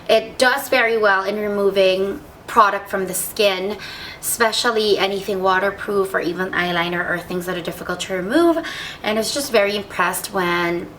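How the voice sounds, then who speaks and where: A woman's voice: mid-range voice, a bit bright, slight background noise, rough recording. A young woman who feels under the weather and sounds congested, chatting casually as she reviews beauty products and reads their labels aloud. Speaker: beauty vlogger.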